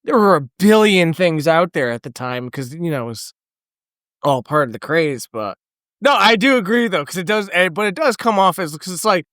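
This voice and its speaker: A man's voice: high-pitched, expressive, fairly bright tone, dry recording, studio quality. A man co-hosting a film podcast in casual chat, rambling with many fillers and slipping into character voices. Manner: rambling, lots of fillers, quick impressions of characters. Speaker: podcast co-host. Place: podcast studio.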